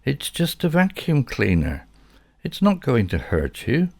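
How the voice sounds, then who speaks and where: Calm, gentle storybook pet owner, slightly raised pitch, lively, read by a male narrator. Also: unhurried, creaky, dry recording, studio quality, exaggerated voice. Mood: soothing. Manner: soothing, gentle.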